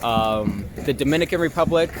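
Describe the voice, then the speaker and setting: A man's voice: mid-range voice, lively intonation, normal speed. A young man chatting casually in an interview, hesitating often and using filler words. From New York. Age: young adult. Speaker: interview guest, actor and filmmaker. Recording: noisy outdoor city traffic.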